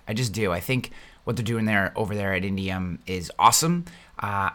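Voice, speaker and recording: A man's voice: medium pitch, pushed and bright. A middle-aged man hosting a podcast, talking casually and energetically with many fillers and restarts. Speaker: podcast host. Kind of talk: casual podcast chat and sponsor reads.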